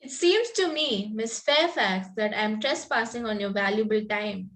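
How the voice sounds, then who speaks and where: A woman playing a sweetly barbed young Victorian girl in an amateur table read, polite and slightly halting. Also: medium pitch, lively intonation, a bit bright.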